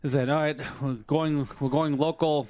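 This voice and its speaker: A man co-hosting a casual podcast, his voice slightly hoarse from a night of singing. He rambles through news stories with plenty of ums, likes and restarts. The sound is dry and close, with no room around him. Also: fairly high pitch, steady tone, normal speed, darkish tone, no room sound. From Filipino family background. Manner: rambling, many fillers and restarts. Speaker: podcast co-host. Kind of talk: casual chat reading news.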